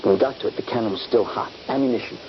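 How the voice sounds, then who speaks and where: A man's voice: on the low side, racing, lightly acted. Man acting a bold Union soldier, noisy old radio. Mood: eager, reminiscing. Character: bold, eager young Union Army soldier, reckless scout. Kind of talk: radio drama acting with first-person narration. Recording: dull, noisy 1970s radio recording.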